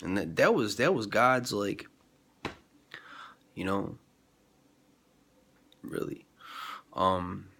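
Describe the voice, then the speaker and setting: A man's voice: lowish voice, animated, drawn-out words, vocal fry, pauses often, pushed and bright, a little hiss or hum. A man with a cold talking in a rambling vlog about faith, full of fillers and restarts, sniffly and congested. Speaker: vlogger. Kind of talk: rambling religious vlog talk.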